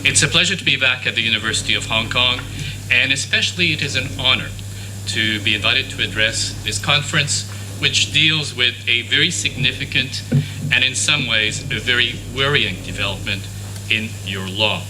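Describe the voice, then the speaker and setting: A man's voice: medium pitch, over music. French-native man giving an academic lecture. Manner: measured, formal, with pauses and restarts. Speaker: conference speaker. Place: university lecture hall. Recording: noisy conference room recording.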